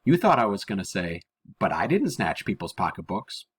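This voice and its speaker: A man plays a stern but kind-hearted older working woman from a storybook. The talk is blunt and folksy, husky in tone and swinging widely in pitch. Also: sing-song, moderate pace, heavily acted. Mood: frank, kindly. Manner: folksy, blunt, nonstandard grammar.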